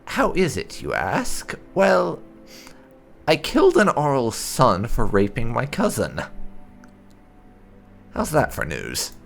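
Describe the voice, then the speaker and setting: Brief: a man reading a blunt young female elf, gravelly, slow and deliberate, low voice, faint game music behind. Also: wildly swooping pitch, fairly bright tone, exaggerated voice. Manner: blunt, dry.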